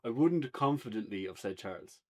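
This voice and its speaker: A man's voice: lowish voice, expressive, fairly quick, dark tone. Casual Irish man chatting. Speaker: podcast co-host reviewing a play. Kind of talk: casual unscripted chat. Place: indoors on a couch, podcast setup.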